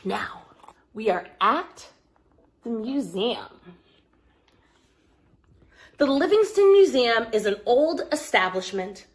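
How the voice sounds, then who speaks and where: A woman storyteller with a high, very animated voice reads for young children. She takes her time and speaks expressively, drawing listeners in as she goes. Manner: expressive, engaging listeners with asides and questions.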